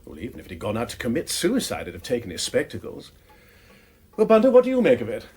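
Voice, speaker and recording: An actor playing a flippant, witty aristocratic amateur sleuth with an upper-class English accent and a bantering, drawling manner, in a radio drama. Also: male voice, mid-range voice, wildly swooping pitch, fast, darkish tone, breathy edge. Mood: curious, musing. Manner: breezy, bantering, drawling exclamations. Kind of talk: BBC radio drama acting.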